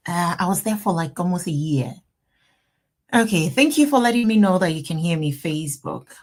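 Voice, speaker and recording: A woman from Ghana with a Ghanaian accent, an attorney hosting a live Q&A stream from her office, greeting viewers warmly and repeating herself as they join. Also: on the low side, expressive, moderate pace. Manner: upbeat, repetitive, greeting viewers by name and place. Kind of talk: casual livestream chat.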